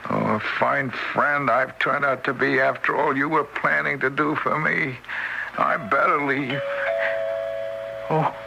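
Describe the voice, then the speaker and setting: An actor playing an apologetic, stammering male ghost in a 1970s radio drama, with a gravelly, hesitant voice. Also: mid-range voice, fairly even intonation, slow pace. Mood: apologetic, uneasy. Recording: noisy 1970s radio broadcast recording.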